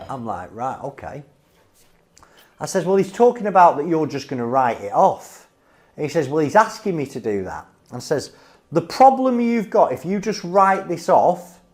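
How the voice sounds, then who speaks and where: A man's voice: fairly high pitch, a little mellow. A Northern English man telling business anecdotes informally, blunt and emphatic, often checking in with "okay?" or "right?". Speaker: insolvency adviser.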